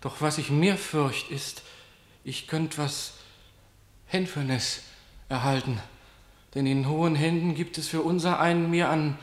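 Man acting a wary, slow-spoken lowly guard. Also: fairly even intonation, reverberant, natural voice. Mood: sly, servile. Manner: declamatory, halting phrases, sly and servile. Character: wary lowly male guard reporting to his ruler. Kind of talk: verse stage tragedy radio acting.

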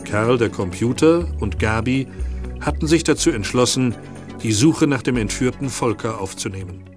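A man's voice: mid-range voice, normal speed, darkish tone, lightly acted. A man acting as storyteller, narrating a scripted children's detective radio play. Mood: storytelling. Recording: faint music behind the narration.

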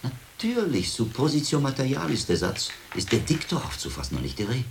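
An actor playing a shrewd, commanding middle-aged monk detective in a radio drama, deliberate and wry with a learned air. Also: male voice, medium pitch, wildly swooping pitch, creaky, background noise. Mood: excited, urgent. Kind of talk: German radio drama acting.

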